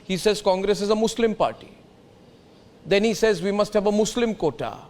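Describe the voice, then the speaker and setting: Man, Indian accent, raised high voice, news debate host, insistent, rhetorical, confrontational, repeats phrases for emphasis. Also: normal speed, darkish tone. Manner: combative, insistent, repetitive, rhetorical questions. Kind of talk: heated political debate and commentary.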